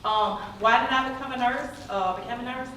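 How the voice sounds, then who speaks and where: A woman's voice: on the high side, brisk, pushed and bright. A Southern American nurse in her forties or older tells her career story on a panel, with many ums, earnest and proud. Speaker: nurse speaking on an employer panel. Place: large conference hall. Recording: echoey hall, mic far off, noise.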